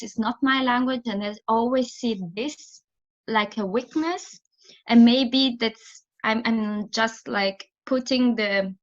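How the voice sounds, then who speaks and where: Italian woman speaking English, lo-fi call. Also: on the high side. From Italy. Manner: searching for words, frequent restarts, thoughtful. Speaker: theatre director, guest. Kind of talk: interview answers on a remote call.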